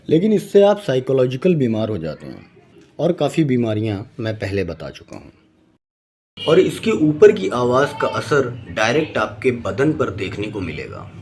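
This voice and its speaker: A man's voice: medium pitch, slight background noise. A man narrating a scripted explainer in an emphatic, didactic manner. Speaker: presenter.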